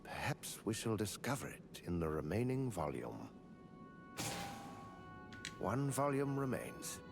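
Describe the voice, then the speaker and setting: A scholarly man of a dark fantasy game, guardian of cursed lore. He speaks with formal, old-fashioned courtesy, his pitch rising and falling expressively over clearly audible music. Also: very animated, full character voice. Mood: grave, intrigued. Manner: formal, measured, archaic phrasing. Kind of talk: scripted fantasy game dialogue.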